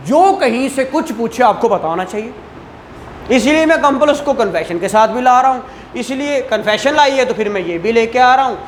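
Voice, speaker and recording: A woman with a Pakistani accent giving an explanatory law lecture, often checking that students follow with short tag questions. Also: fairly high pitch. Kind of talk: explanatory classroom lecture mixing Urdu and English legal terms. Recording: clearly noisy room recording.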